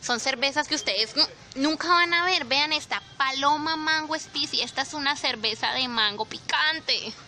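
Brief: young woman, chatty handheld vlog, high and very bright voice. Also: normal speed, few pauses. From Colombia. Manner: chatty, expressive, addresses viewers directly. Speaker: vlogger. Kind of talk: casual walk-through vlog. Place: supermarket aisles, indoors.

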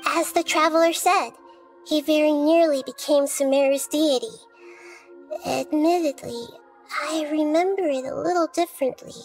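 Child-like game goddess, high voice, measured, thoughtful, gentle, soft music underneath. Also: female voice, steady tone, rough recording. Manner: measured, articulate, reflective. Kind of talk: scripted game dialogue.

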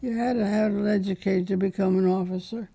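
A woman's voice: mid-range voice, fairly even intonation, a little fast, creaky, dark tone, slightly rough. An older woman telling her wartime memories in a plainspoken, blunt way during a sit-down interview at home. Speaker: interviewee, veteran. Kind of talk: documentary interview recollection. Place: living room with drapes.

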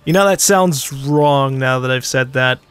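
A man's voice: mid-range voice, a little slow, a bit bright, smooth, faint music behind. A man hosting a casual gaming session, laid-back and teasing, laughing easily. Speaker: host.

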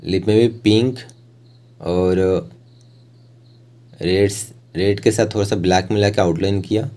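An Indian man teaches in a low-pitched voice with a dark, relaxed tone. He narrates each step informally, pausing between short phrases as he goes. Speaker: rangoli art tutor.

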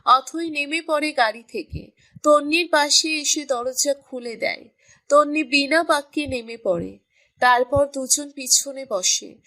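A woman's voice: high voice, slow pace, pushed and bright. A woman reading scripted narration for a romantic story as its storyteller.

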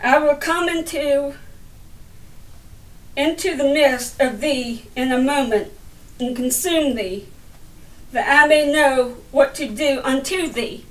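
A middle-aged woman reading the Bible aloud as a sermon, in deliberate broken phrases with stumbles over the words. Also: sits high. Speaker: lay preacher reading scripture. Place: indoor room by a window. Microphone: camera a little way off. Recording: roomy, distant camera microphone.

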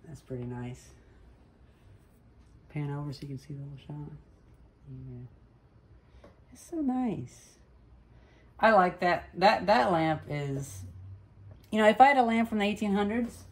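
A young woman with a low voice gives a casual, unscripted demonstration. She muses as she goes, recorded on a home camera with faint background noise. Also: expressive, a little slow, long pauses, a little mellow. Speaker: hobbyist vlogger demonstrating old light bulbs. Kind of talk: casual off-the-cuff demonstration chat. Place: living room at home, indoors.

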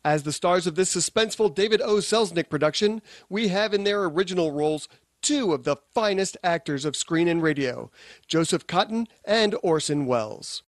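Man as a polished, higher-voiced 1950s radio host. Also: expressive, normal speed, no room sound, clean studio sound. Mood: polished, genial. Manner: formal, polished showmanship. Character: polished male Hollywood radio producer-host. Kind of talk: 1950s radio hosting, scripted.

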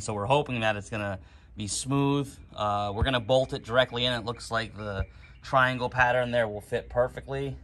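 A man hosting a casual how-to video, narrating a trailer upgrade outdoors in a relaxed, plain-spoken way while holding the camera. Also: mid-range voice, slight background noise. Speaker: DIY channel host. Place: outdoors on a concrete driveway. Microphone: camera mic, one hand on camera.

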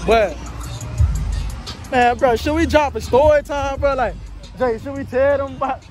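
A man's voice: extremely high pitch, unhurried. A young man vlogging on a crowded beach, talking hyped and slangy to his phone camera. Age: young adult. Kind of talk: casual vlog chat. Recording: loud music and crowd behind. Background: loud music and crowd.

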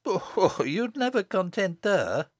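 A man's voice: sits high, sing-song, normal speed, no echo, clean studio sound, pitched above the performer's own voice, heavily acted. A man voicing a harsh, scolding village mother in an audiobook, with sour, testy rustic dialect speech.

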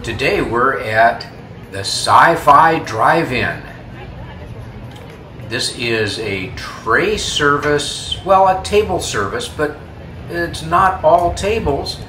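A man's voice: fairly high pitch, takes it slowly, pushed and bright. A man in his seventies co-hosting a restaurant tour vlog, talking in an easygoing, chatty way full of filler sounds. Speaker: vlog co-host. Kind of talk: casual restaurant tour narration. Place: themed dine-in restaurant, indoors. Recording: roomy with ambient restaurant noise.

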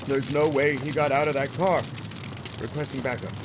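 An actor playing a flustered, halting policeman in an audio drama. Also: medium pitch, little pitch movement, background noise, close to an everyday voice. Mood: flustered, shaken. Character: flustered policeman calling for backup.

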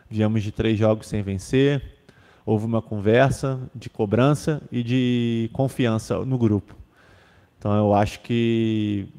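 Young man, low mellow voice, footballer answering press, filler-heavy, reverberant room. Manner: casual, repeats fillers, restarts phrases. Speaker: football defender at a press conference. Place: club press room with sponsor backdrop. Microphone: table microphone on stand.

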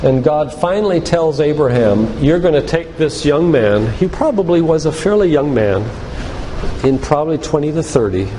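An older man delivers a sermon-like lecture with emphatic, preacherly phrasing. His voice sits a little high for a man. It rings through a church hall with long reverb and some noise behind it. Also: expressive, normal speed, dark tone. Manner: emphatic, preacherly, with rhetorical questions. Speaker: physician giving a faith-based lecture. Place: church, speaking to a congregation.

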